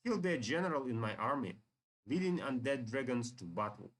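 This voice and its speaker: A man's voice: medium pitch, big pitch swings, a little fast. A man voicing a scheming, taunting necromancer villain from a fantasy game, with grandiose phrasing. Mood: gloating.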